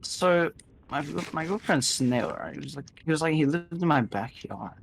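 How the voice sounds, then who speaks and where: A man telling improvised stories casually, rambling and halting, often repeating his phrases. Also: fairly high pitch, animated, creaky, slight background noise. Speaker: storyteller in a gaming video. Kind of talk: casual improvised storytelling while gaming.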